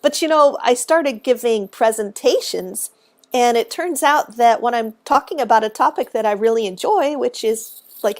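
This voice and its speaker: A woman with a high voice, exuberant and chatty, explaining cemetery history as a guest on a live stream. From Texas.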